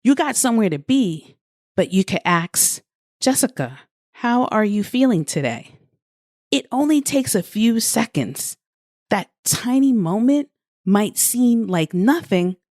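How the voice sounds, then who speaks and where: A woman delivers a scripted motivational podcast in a dry, close recording. Her pace is slow and deliberate, broken into short phrases, while her mid-pitched voice moves with plenty of melody. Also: lively intonation, a little mellow, no room sound. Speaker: podcast host and life coach.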